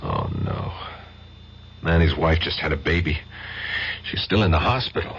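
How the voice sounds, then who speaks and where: A man's voice: extremely low pitch, moderate pace, lo-fi sound, slight background noise. An actor playing a male federal narcotics agent in a radio drama, answering plainly.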